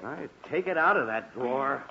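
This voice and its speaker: A man's voice: mid-range voice, expressive, normal speed, pushed and bright. An actor playing a grumbling, exasperated middle-aged father with theatrical, blustering complaints in a 1940s radio comedy. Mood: weary. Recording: muffled, noisy 1940s radio recording.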